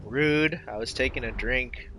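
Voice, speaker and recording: A man's voice: medium pitch, unhurried, heavy background noise. A man giving casual live commentary as he plays a video game.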